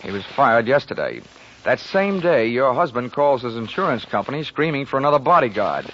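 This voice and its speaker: Wry 1940s radio private eye. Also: male voice, medium pitch, sing-song, a little fast, a bit bright, a little hiss or hum. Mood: sardonic. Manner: wisecracking, clipped. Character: wry, hard-boiled male insurance investigator. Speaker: lead actor and narrator. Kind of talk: 1940s radio detective acting and narration. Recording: dull lo-fi 1940s radio recording.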